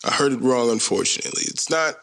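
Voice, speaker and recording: A man's voice: medium pitch, animated, quick pace, gravelly, a bit bright. A man in his thirties hosting a solo podcast, talking in a loose, rambling, slangy and joking way. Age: 30s. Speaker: podcast host. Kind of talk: casual solo podcast chat.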